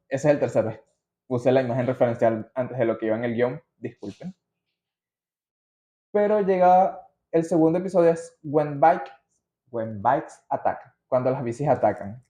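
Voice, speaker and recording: A man's voice: a little high, mellow. A man with a Venezuelan accent hosting a podcast, telling things casually from his notes in a slangy, digressive way. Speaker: podcast host. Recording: muffled, roomy podcast recording.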